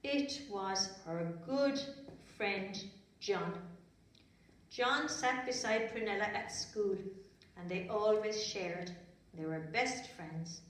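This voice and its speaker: A woman's voice: mid-range voice, slow pace. An Irish-accented woman telling stories to young children in simple, repetitive phrases with chatty asides. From Ireland. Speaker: children's storyteller. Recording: very echoey room, distant mic, faint noise.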